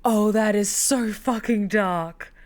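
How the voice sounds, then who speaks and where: A woman's voice: medium pitch, slow pace, a little mellow. A woman reacting to a game and reading along as she plays. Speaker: streamer playing and reacting to a visual novel.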